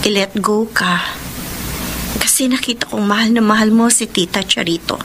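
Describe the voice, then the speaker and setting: A woman with a Filipino accent playing a gentle, self-sacrificing young woman in a radio drama, earnest and subdued. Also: medium pitch, steady tone, normal speed, natural voice. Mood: resigned, apologetic. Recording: radio drama with clear background noise.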